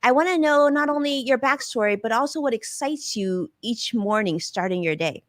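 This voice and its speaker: A woman's voice: a little high, expressive, normal speed, no echo, studio quality. A woman hosting an interview, enthusiastic and affirming toward her guest. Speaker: show host.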